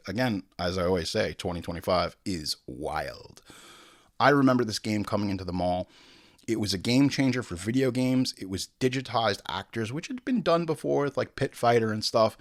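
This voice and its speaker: A middle-aged American man talks casually as a podcast host, with a lowish voice in a very dry recording. He jokes freely and leans on hyperbole and swearing. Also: dry recording. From Long Island, New York, United States. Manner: hyperbolic, joking, profane. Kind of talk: casual humorous monologue.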